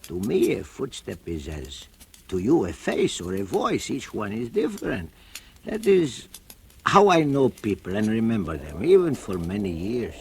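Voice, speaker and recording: Gravelly, gentle old shoemaker. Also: male voice, on the low side, big pitch swings, a little slow, slight background noise. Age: older. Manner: gentle, fatherly, soft chuckles. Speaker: narrator and lead, a shoemaker father. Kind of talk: 1940s radio acting.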